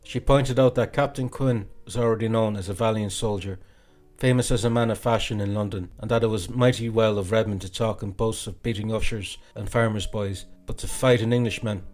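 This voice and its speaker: A man reading a classic novel as an audiobook narrator, in an expressive, dramatic storytelling way. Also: on the low side, a little fast, faint music behind.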